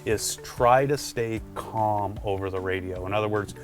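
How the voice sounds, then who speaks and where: A man's voice: on the low side, measured pace, soft background music. A man presenting product tips in a casual, conversational instructional style, talking directly to the viewer.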